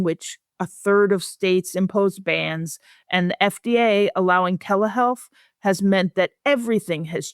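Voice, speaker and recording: A woman's voice: medium pitch, smooth, no echo, studio quality. A woman speaking as an expert guest, explaining things in articulate, plain-spoken interview answers. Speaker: expert guest, professor and researcher.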